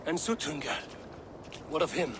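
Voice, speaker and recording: A man's voice: fairly high pitch, animated, a little slow. An actor playing a proud, brooding Norse god in a video game, solemn and threatening in his speech. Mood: accusing. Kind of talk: video game dramatic acting. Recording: clear background ambient noise.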